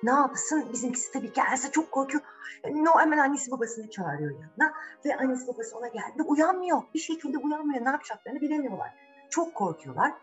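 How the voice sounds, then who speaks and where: A woman tells a children's fairy tale in a very animated, dramatic way. She chats informally to young listeners and keeps asking them questions, with music playing softly behind her. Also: high voice, a little fast, dull audio. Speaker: storyteller.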